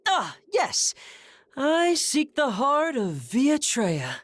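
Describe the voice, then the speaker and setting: A woman's voice: high-pitched, huge pitch swings, extremely slow, no echo, exaggerated voice. A woman voicing a flamboyant, grand-talking treasure-hunting adventurer in a game dub. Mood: grandiose. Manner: theatrical, grand, playful. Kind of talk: video game voice acting.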